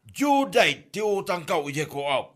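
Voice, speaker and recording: A man's voice: sits high, very animated, unhurried, fairly bright tone, heavily acted. A man with an Ilocano accent playing an exasperated, blunt husband who scolds emphatically in a comedy drama.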